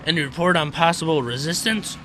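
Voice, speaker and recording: A man's voice: on the high side, fairly quick, noisy background, close to an everyday voice. A man playing a stern, commanding American army captain in an amateur World War II radio drama, speaking in dry, clipped orders.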